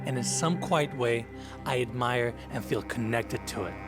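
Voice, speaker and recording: A young man whose first language is Turkish, hosting a travel vlog with excited on-location chat and reflective voice-over. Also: mid-range voice, moderate pace. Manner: excitable, exclaims and laughs while crawling through tight spaces. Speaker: host. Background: background music.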